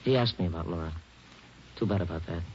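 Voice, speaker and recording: An actor playing a folksy, breezy showbiz booking agent in a 1940s radio drama, talking in clipped slang. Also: male voice, low-pitched, quick pace, a little hiss or hum, lightly acted. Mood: breezy, casual. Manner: slangy, informal, clipped phrases. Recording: muffled vintage 1940s radio recording.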